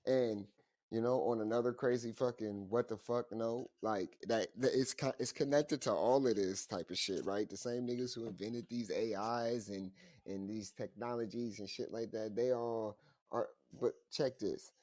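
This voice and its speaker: A low-voiced man co-hosting a loose podcast chat. He talks in an animated, profane way, laughing often. The sound is dry and close. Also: moderate pace, no room sound. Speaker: podcast co-host. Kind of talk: casual chat.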